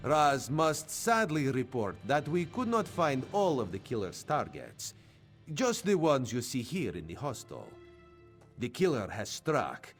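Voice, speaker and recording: A man's voice: mid-range voice, big pitch swings, measured pace, soft background music. A man voicing a cheerful male cat-folk rogue who talks about himself in the third person, as AI-voiced game dialogue. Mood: playful.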